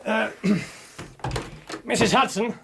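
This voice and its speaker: An actor playing a commanding, sardonic British detective in a radio drama, precise and theatrical. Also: male voice, high voice, fairly even intonation, drawn-out words, gravelly, noisy background, full character voice. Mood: assured, theatrical. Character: brilliant, commanding, sardonic male private detective.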